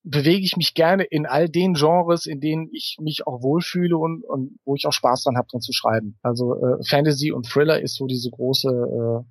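Male interview guest, author, fairly high voice, conversational delivery, no room sound. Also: normal speed, few pauses, no echo. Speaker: author interview guest, writer of novels and audio dramas.

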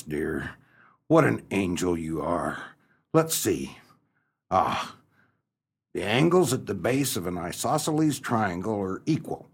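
Old farmer in a radio melodrama , played by a man: husky, slow, overwrought and despairing. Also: on the low side, exaggerated voice. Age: older. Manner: overwrought, theatrical lamenting. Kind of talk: comic radio melodrama acting.

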